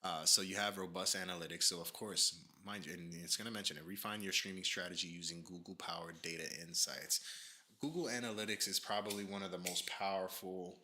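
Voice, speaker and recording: A low-voiced man talks through his stream in a loose, rambling way, full of fillers and restarts. His tone is bright and forceful, with a slight nasal edge from allergies. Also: fairly even intonation, a little fast. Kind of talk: casual livestream commentary, reading from a page.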